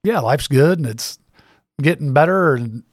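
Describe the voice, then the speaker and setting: A man's voice: a little high, animated, measured pace, no echo, clean studio sound. A man in his fifties from Central Iowa, a guest on a local radio show, answering in an easygoing, agreeable way. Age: 50s. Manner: easygoing, short agreeable replies. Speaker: interview guest, insurance agent. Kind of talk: casual interview chat. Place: small radio studio.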